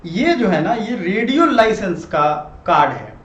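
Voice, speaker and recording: A high-pitched male teacher explains things in a conversational lecture style, often slipping into English. His voice rings in an echoey room, and he keeps checking that listeners follow. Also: big pitch swings, a little slow. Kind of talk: classroom lecture explaining current affairs. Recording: echoey room, muffled, loud background noise.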